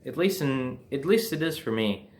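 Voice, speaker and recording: A young man with an American accent and a medium-pitched voice. He tells a personal story in a casual, conversational way. He leans on certain words for emphasis, like someone recounting events to friends. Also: expressive, normal speed. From New Jersey, United States. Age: young adult. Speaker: storyteller, host.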